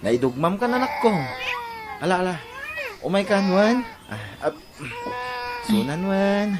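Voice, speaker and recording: A man playing a gentle, apologetic father coaxing his child in an Ilocano audio drama. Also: high-pitched, very animated, measured pace, noisy background.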